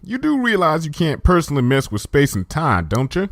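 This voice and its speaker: A man's voice: a little high, wildly swooping pitch, brisk, no echo, pitched above the performer's own voice. A plain-spoken farm pony mare, as a man puts her on, drawling in a folksy country manner, somewhat above his own voice. The delivery is easygoing and practical, and the sound is dry and close.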